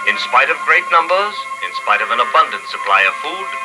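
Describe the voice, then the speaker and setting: A man's voice: mid-range voice, sing-song, brisk, close to an everyday voice, poor audio. An actor playing a grave scholarly man, giving formal, oratorical lecture-like narration in a 1950s radio drama. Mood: grave, didactic. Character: grave scholarly male voice warning of extinction. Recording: vintage radio recording, music behind.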